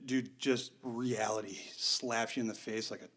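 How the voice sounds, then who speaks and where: A man in his thirties talks in a middle register on a casual podcast. He is excitable and swears freely, and his voice swings up loud when he gets worked up. He speaks as a veteran looking back. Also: mid-range voice, unhurried.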